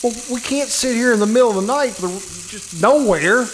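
A man acting an exasperated but protective husband in a live old-time radio suspense play, halting and reasoning. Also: extremely high pitch. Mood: impatient, reasoning. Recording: live recording with loud background noise.

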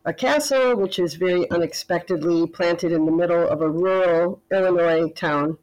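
A woman author talking as a podcast guest, thoughtful and measured, now and then pausing on fillers. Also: lowish voice, darkish tone, dull audio. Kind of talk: casual interview chat.

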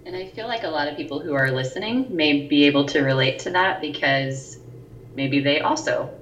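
A woman in her forties with a very low, scratchy voice chats as a podcast co-host. She talks haltingly, pausing and filling gaps with ums. She sounds set back from the mic in a roomy space, with a faint hiss behind her. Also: fairly bright tone, distant mic, muffled recording. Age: 40s. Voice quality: scratchy, deep voice. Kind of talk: casual chat. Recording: echoey room, voice a bit off-mic, faint noise.

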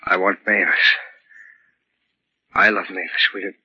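An actor playing a deranged, lovesick man in a hospital bed, rambling and repeating himself haltingly in a 1940s radio drama. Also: low-pitched, steady tone, slightly rough. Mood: feverish longing. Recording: dull lo-fi 1940s radio recording.

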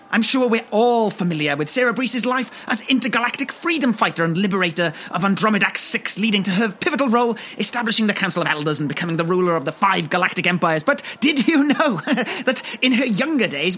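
A woman's voice: mid-range voice, lively intonation, brisk, few pauses, fairly bright tone, a little hiss or hum, natural voice. Woman as an awkward, officious tour guide. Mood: polite, awkward. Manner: hesitant, polite, chuckling. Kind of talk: comedy sketch acting.

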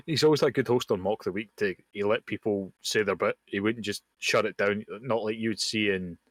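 A young man co-hosting a casual podcast, talking bluntly with casual swearing and quick jokey asides. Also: lowish voice, dry recording. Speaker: podcast co-host. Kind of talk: casual chat. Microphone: home mic over a voice call.

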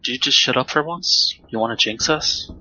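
An actor playing a stern, protective, deadly serious mercenary in an audio drama, with slightly muffled recording. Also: male voice, mid-range voice, moderate pace, sharp tone. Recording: dull sound, faint background noise.

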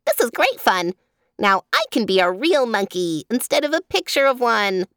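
A mischievous male monkey from a children's tale, put on by a woman reader. He chatters playfully in a high-pitched voice and loves to tease. The recording is dry. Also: big pitch swings, normal speed, fairly bright tone, clear, resonant tone, no echo. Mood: gleeful, teasing. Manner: chattering, teasing. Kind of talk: children's storybook character reading.

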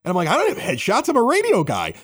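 A man hosting a local podcast with an animated radio-announcer delivery. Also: high-pitched, racing. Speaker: podcast host. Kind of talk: scripted local news reads and casual bar chat. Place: at a bar.

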